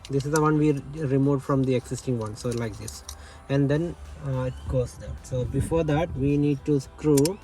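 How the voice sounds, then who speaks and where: A man giving a casual hands-on installation tutorial, explaining haltingly and correcting himself as he goes. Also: mid-range voice, warm tone, poor audio. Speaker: DIY installation presenter.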